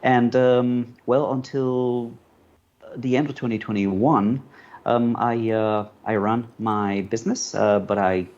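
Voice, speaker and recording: A middle-aged man from Colombia, a native Spanish speaker, telling his story in a relaxed, rambling way with many fillers. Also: medium pitch, slow pace. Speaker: panel guest, media commentator. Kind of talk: casual conversational storytelling. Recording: dull lo-fi call audio with faint noise.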